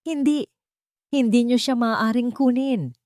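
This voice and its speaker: A woman's voice: fairly high pitch, unhurried, darkish tone, no room sound, clean studio sound, voice pushed up, natural voice. A woman voicing a desperate, protective mother in a dramatic audio story. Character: desperate, protective young mother fighting for her child.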